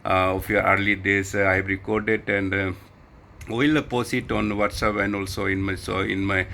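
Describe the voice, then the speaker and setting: A man's voice: on the low side, little pitch movement, moderate pace, gravelly, bright, forceful tone, slight background noise. A man with an Indian accent chatting casually as an amateur radio operator, hesitant and often repeating his words. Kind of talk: casual ham radio chat.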